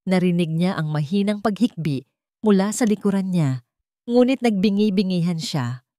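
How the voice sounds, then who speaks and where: A woman's voice: lowish voice, animated, normal speed, dark tone, no room sound, clean studio sound. A woman with a Filipino accent reading a scripted, dramatic story as its narrator.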